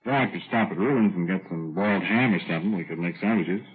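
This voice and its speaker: An actor playing a folksy American pipe-shop worker, rambling colloquially with a husky voice in an old-time radio drama. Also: male voice, sits low, fast, close to an everyday voice. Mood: casual, lazy. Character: folksy male pipe-shop worker reminiscing about his youth. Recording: muffled, tinny vintage radio recording with music.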